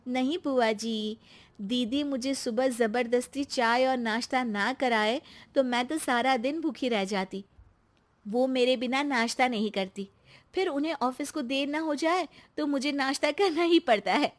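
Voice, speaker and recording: Woman as a sweet, high-voiced young daughter-in-law. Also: a little slow. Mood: gentle, loyal. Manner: polite, earnest, gently protesting.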